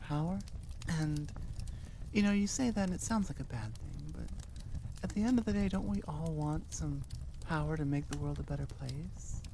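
A woman with a low, mellow voice talks casually to her listeners. She thinks out loud in a reflective, informal ramble, with faint noise behind her. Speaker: audio creator addressing listeners. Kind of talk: casual chatty outro, thinking aloud.

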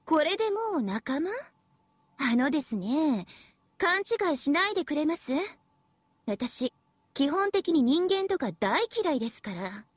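A young female AI devil girl for an anime game. The voice is very high, sweet and girlish, with a dry studio sound. She is smug and playful, talking down to people with mock politeness. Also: big pitch swings, measured pace, no room sound. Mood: condescending. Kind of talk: Japanese anime game voice acting.

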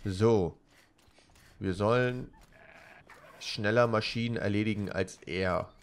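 German gamer with a cold. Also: male voice, fairly low pitch, slow pace. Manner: chatty, rambling, gets loud and jokey when panicking. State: has a cold. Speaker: let's play commentator. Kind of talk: casual gameplay commentary.

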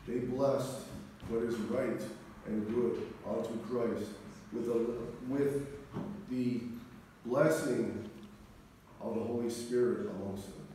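A middle-aged man, an Orthodox priest, preaching a sermon in a solemn, deliberate manner with measured pauses. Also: medium pitch, steady tone, mellow. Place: large church interior, speaking from the front. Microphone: distant camera microphone. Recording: echoey church, distant mic, faint noise.

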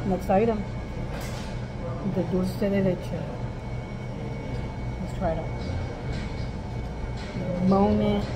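Woman, middle-aged, casual food vlog, slow halting delivery, phone at arm's length, music behind. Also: medium pitch, little pitch movement, low-quality recording. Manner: halting, repeating words. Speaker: food review vlogger. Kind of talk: casual food review to camera. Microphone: camera or phone at arm's length.

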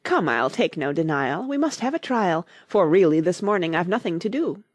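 Storybook villain, a sly, overbearing old hound, read by a woman, animated and insistent. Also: lowish voice, normal speed, dry recording, clean studio sound. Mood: bullying. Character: cunning, domineering old male dog bullying prey.

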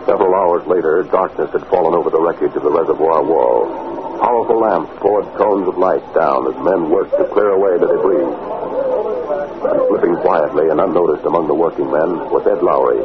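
A man narrating a 1940s radio drama as announcer, dramatic and emphatic with clear enunciation. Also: sits low, normal speed. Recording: very noisy, tinny 1940s radio recording.

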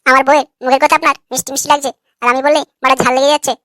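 A man voicing an irritable, foul-mouthed young husband in a comic cartoon, with a sharp, artificially raised voice and a combative manner. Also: very high-pitched, level tone, rapid-fire, no room sound, studio quality, pitched above the performer's own voice. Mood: irritated. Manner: combative, crude.

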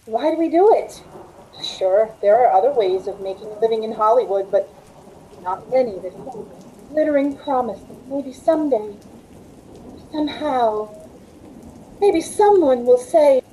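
A woman's voice: a little high, expressive, measured pace, warm tone, slight background noise, rough recording. A middle-aged woman playing a weary, wistful 1940s Hollywood carhop who confides her story in old-time radio drama style. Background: rain.

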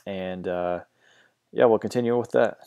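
Low-voiced man explaining software, hesitant. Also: moderate pace, gravelly, clean tone. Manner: hesitant, many ums and restarts. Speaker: tutorial host. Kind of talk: casual screen-recorded software tutorial. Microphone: mono home recording mic.

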